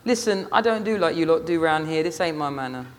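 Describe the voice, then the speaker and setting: A man's voice: on the high side, lively intonation, fairly quick, close to an everyday voice. A man voicing a defiant, cocky young man from Hackney, slangy and argumentative, in an audiobook story. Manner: slangy, argumentative, self-justifying.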